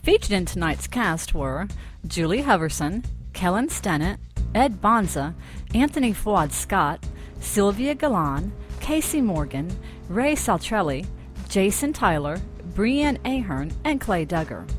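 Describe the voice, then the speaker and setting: A woman's voice: medium pitch, sing-song, measured pace. A woman announcer reading scripted closing credits for a radio drama. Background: music.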